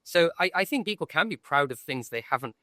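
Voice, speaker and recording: Older British man, slightly high voice, debating. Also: animated, a little fast, sharp tone, dry recording, studio quality. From Britain. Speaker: debate panellist, academic. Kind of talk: reflective debate argument.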